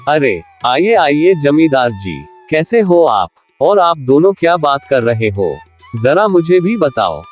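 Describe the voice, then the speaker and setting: An actor voicing a clever, humble poor farmer in a cartoon, polite and quietly confident. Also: male voice, a little high, very animated, takes it slowly, faint music behind.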